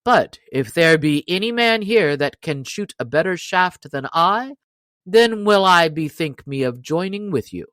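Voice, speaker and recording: Proud, surly young countryman archer for a storybook, blunt and emphatic, deliberate pace, dry sound, performed by a woman. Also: on the low side, animated, a bit bright, no room sound. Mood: surly, defiant. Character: surly, proud young stranger, male cudgel fighter and archer. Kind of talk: storybook character reading.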